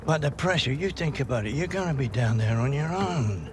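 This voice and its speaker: A man's voice: mid-range voice, lively intonation, brisk, noisy background, natural voice. An older man playing a cautious, worried grandfather in a video game cutscene, with music behind him. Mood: concerned. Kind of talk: cinematic game cutscene acting.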